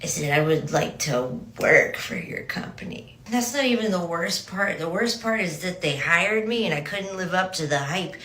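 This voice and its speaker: A woman's voice: fairly low pitch, expressive, normal speed, few pauses, a bit bright. A woman delivering a self-deprecating comedic monologue. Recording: roomy echo.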